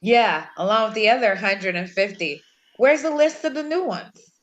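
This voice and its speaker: A woman's voice: mid-range voice, lively intonation, moderate pace, muffled recording, close to an everyday voice. A woman reading the part of an irritable, overworked chief engineer in a script table read, curt and businesslike. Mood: irritated.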